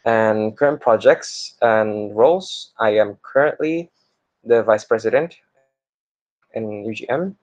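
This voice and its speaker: A young man presents himself as a student in a fairly low voice. His delivery is informal and hesitant, with breaks in the middle of phrases. The recording is somewhat muffled and dry, lacking detail. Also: slow pace, no room sound. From Indonesia. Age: young adult. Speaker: computer science student presenting. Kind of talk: informal self-introduction in a class presentation.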